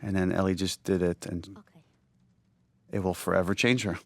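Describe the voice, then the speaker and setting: Low-voiced man giving thoughtful commentary. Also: fairly even intonation, normal speed, creaky, a little mellow, no room sound. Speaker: game director giving commentary. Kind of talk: unscripted behind-the-scenes commentary.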